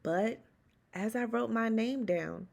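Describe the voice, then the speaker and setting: A woman reading a children's storybook aloud as first-person narration, expressively, with dramatic pauses and emphasis. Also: a little high, creaky, a little mellow. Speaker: children's read-aloud host.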